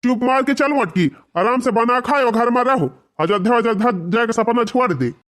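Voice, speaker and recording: Cartoon husband, played by a man: high-pitched, Awadhi accent, stubborn village grumbler, dismissive and teasing. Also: animated, moderate pace, fairly bright tone. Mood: grumbling, dismissive. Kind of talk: comedy cartoon dialogue in village dialect.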